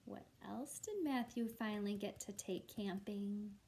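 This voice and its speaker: A woman's voice: medium pitch, measured pace, darkish tone. A woman, a primary school teacher, chatting to young pupils in an encouraging way. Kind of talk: read-aloud and chat to young pupils. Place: at home.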